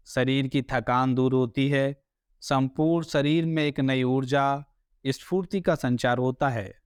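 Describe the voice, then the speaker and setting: A man leads a guided relaxation practice in a clear, resonant voice. He speaks very slowly and deliberately, giving soothing step-by-step instructions. The sound is dry, with no room echo. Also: on the high side. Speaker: yoga nidra meditation instructor.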